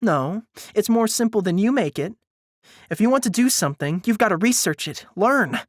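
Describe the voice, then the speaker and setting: Man voicing a sassy, pushy young woman, pitched up. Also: expressive, normal speed, no room sound, studio quality. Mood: forceful, urging. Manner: blunt, insistent. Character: sassy, pushy young woman encouraging her hesitant friend. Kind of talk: dramatised audiobook dialogue.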